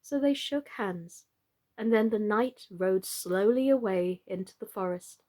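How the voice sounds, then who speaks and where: A British woman narrating a children's story in an expressive, theatrical and deliberate storyteller's manner. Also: medium pitch. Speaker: storyteller, actress.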